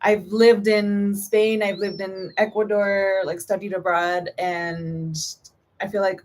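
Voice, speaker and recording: A woman's voice: medium pitch, little pitch movement, slow, darkish tone, slight background noise. A young woman from the United States hosting a live stream, chatting in a casual, upbeat way and dropping in Spanish phrases.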